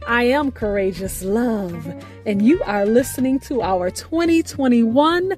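Female radio host, high register, earnest scripted intro over faint music. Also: animated, a little mellow. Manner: earnest, grateful, measured delivery. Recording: faint music bed behind voice.

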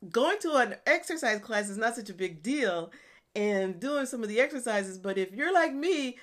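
A woman's voice: fairly high pitch, lively intonation, normal speed. A woman in her fifties, a fitness coach, talking casually on her podcast in a chatty, self-deprecating way with frequent laughs. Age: 50s. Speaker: online fitness and nutrition coach. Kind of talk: casual solo podcast talk.

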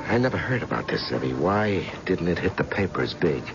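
Concerned ex-cop pal, low gravelly voice, direct, old radio drama, dull noisy audio. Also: male voice, sing-song, fairly quick. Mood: sympathetic. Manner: earnest, direct questioning. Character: loyal, concerned male ex-cop family friend. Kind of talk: old-time American radio drama acting.

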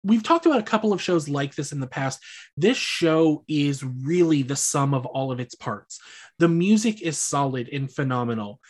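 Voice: mid-range voice, expressive, moderate pace. A podcast co-host chatting casually about musical theater, hedging and qualifying each point. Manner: hedging, rambling, qualifies every claim.

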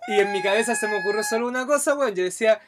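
A man with a Chilean accent hosting a podcast, bantering fast in heavy slang. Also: sits high, very noisy. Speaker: podcast host, comedian. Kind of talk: casual chat.

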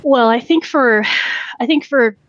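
A woman lawyer in her forties, from Canada, speaks in an unhurried, reflective way and often restarts her sentences. The recording is dry and close, with a slightly muffled top end. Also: on the high side, no room sound. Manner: thoughtful, with frequent fillers and restarts. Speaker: lawyer, podcast guest. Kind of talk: reflective podcast interview.

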